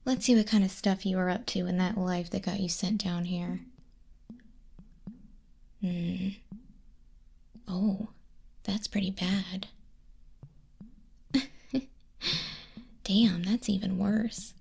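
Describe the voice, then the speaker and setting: A woman playing a sardonic, bored demon clerk in a whispered ASMR roleplay, soft-spoken and condescending, close to a binaural microphone. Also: mid-range voice, fairly even intonation, slow pace, creaky, long pauses, breathy edge, heavily acted. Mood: amused, snooping. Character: sardonic, bored female demon clerk registering sinners in Hell.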